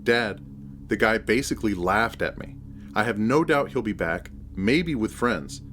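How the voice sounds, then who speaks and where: A man's voice: lowish voice, animated, a little slow, a bit bright, slight background noise, voice pushed up. A man voicing a hot-tempered, exasperated hero of a horror story, snapping and barking his lines. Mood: tense. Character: hot-tempered narrator hero, protective, exasperated adult child.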